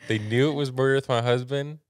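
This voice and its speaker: A man's voice: medium pitch, fairly even intonation, fairly quick, smooth. A young American man chatting casually as a podcast co-host, speaking into a close studio microphone. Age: young adult. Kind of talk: casual chat. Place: small indoor podcast studio. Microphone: close studio mic on boom arm, headphones.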